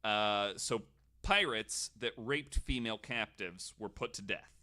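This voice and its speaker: Male podcast host, lower register, crisp bright edge, loose comedic storytelling with wry asides. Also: measured pace. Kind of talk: casual comedic storytelling from notes.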